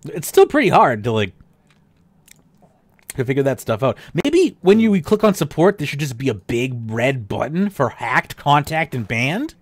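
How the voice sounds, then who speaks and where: A man hosting a podcast with a worn, slightly tired voice. His pitch moves a lot as he drifts into sarcastic asides, and the sound is dry and close. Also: normal speed, no room sound. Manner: animated, sarcastic asides, trails off with fillers. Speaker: podcast host. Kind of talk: casual podcast chat reading game news.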